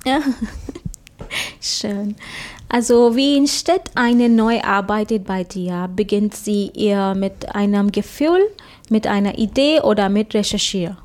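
A woman's voice: mid-range voice, slow, a little mellow. A woman interviewer asking conversational questions in an attentive, informal way.

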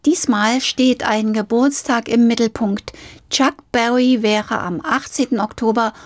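A woman presenting a radio history feature, asking questions and reading the background in a measured way. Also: fairly high pitch, fairly even intonation, no room sound, studio quality. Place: radio studio. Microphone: studio mic.

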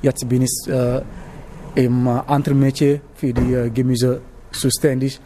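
A young man from Senegal with a Senegalese accent, answering casually in halting non-native German in a noisy location interview. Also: medium pitch, level tone, dark tone. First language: not German, learned it as an adult. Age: young adult. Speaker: interviewee, trainee cook.

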